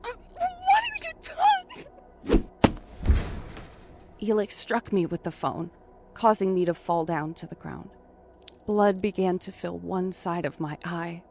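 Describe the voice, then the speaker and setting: A woman's voice: lowish voice, wildly swooping pitch, slow pace, pauses often, a little mellow, a little hiss or hum, full character voice. An actress playing a frightened but defiant trapped woman in a first-person thriller audio drama, with dramatic, suspenseful delivery. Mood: panicked, defiant, then grim, dazed. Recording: music under the voice.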